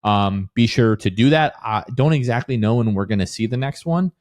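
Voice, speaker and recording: A man's voice: mid-range voice, expressive, normal speed, a little mellow, dry recording, clean studio sound. An American man hosting a podcast, chatting casually about sports. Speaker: podcast host.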